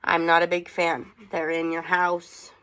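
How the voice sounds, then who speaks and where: Slow-paced woman reading aloud to children. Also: on the low side, completely flat delivery, a bit bright, clear, resonant tone. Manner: conversational, asks the young listeners questions. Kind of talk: children's read-aloud with chatty asides and questions.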